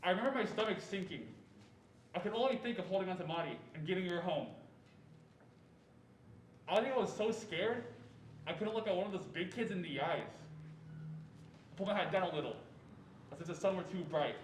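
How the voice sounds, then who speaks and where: A reflective, haunted storyteller played by a young man looks back on childhood in reader's theatre. His voice is high for a man and comes from a distance in an echoey room. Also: expressive, normal speed, pauses often. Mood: uneasy. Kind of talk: scripted dramatic narration. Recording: echoey room, distant mic, faint noise.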